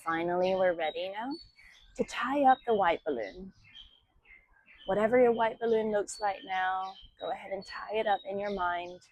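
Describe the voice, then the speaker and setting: A woman teaching yoga, guiding meditation and breathing in a soothing, measured way with long pauses between short phrases. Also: mid-range voice, a little mellow. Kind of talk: guided meditation and breathing instruction.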